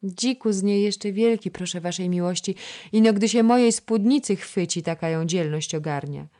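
A woman voicing a shy, humble young village girl who speaks softly and modestly. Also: mid-range voice, normal speed, pitched above the performer's own voice, lightly acted. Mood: gentle. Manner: soft, modest.

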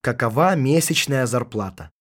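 A man reading out translated phrases one at a time for a language lesson, in a careful, measured way. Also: medium pitch, animated, fairly bright tone. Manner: gives each phrase as a careful spoken translation. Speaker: translation reader.

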